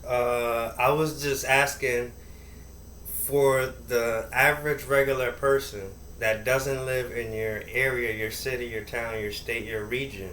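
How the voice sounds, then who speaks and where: A man's voice: medium pitch, steady tone, a little slow, fairly bright tone, reverberant, slight background noise. A male interviewer asks hesitant questions that trail off.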